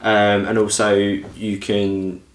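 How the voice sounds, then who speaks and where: A man's voice: on the low side, monotone, takes it slowly. A man co-hosting a podcast with casual, jokey, self-deprecating banter. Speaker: podcast co-host. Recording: echoey room sound.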